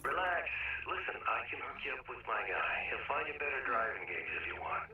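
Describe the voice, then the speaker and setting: An actor playing a breezy, pragmatic, wisecracking fixer in a video game, glib and needling over a phone filter. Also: male voice, medium pitch, big pitch swings, a little fast, far from the mic. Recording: echoey, sharp phone-call sound.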